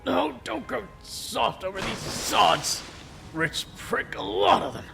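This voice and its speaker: A man's voice: very high voice, sing-song, slow, creaky, over music, heavily acted. A man playing a callous, bullying criminal who barks and sneers in coarse slang in an audio drama. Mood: irritated, sneering.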